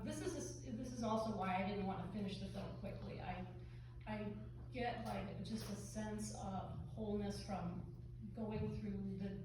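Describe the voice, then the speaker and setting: A woman filmmaker talks through audience questions in a relaxed, thoughtful way, stopping often to find her words. She is heard from a distance, and the hall's long echo and steady background noise surround her voice. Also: mid-range voice. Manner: thoughtful, many pauses and fillers. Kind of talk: casual post-screening Q&A. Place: large theater hall, heard from far off. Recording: echoey hall, distant mic, noise.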